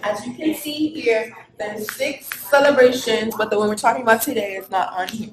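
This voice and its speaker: A woman's voice: fairly high pitch, normal speed. A woman giving a casual school presentation and explaining things with fillers along the way. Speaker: student presenter. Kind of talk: classroom presentation. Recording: echoey room with noise.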